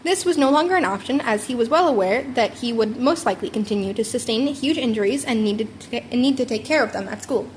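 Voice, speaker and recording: A woman narrates fiction in a fairly high voice. She reads like an amateur, sometimes repeating a stumbled word. It sounds like a home recording with some noise. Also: expressive, fast, few pauses. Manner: stumbles over words and rereads them. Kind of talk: narrated story reading.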